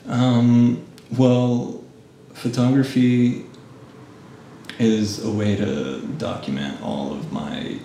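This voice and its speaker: A man in his late thirties from Japan, answering interview questions with soft, trailing, hesitant phrases. His voice has a gravelly fry. The recording sounds like a big echoey room with the mic some distance away and a steady hiss behind him. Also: medium pitch, drawn-out words. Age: late 30s. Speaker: guest photographer. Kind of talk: casual interview.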